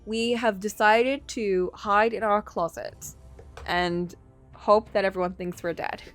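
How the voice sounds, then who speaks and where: A woman streamer reading a story game aloud, mixing expressive narration with casual asides. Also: mid-range voice, takes it slowly, faint music behind.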